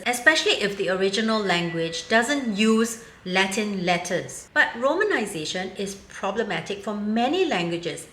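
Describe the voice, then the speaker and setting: A woman's voice: medium pitch, fairly bright tone, slight background noise. A woman in her fifties from Singapore, giving her frank, wry opinion in a commentary vlog. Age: 50s. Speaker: vlogger. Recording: roomy echo.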